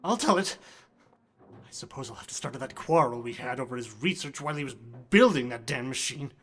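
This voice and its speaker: An actor playing an educated gentleman, a scientist's wary loyal friend, speaking formally and expressively in a radio drama. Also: medium pitch, lively intonation, fairly quick, lightly acted. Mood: troubled. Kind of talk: theatrical radio drama acting.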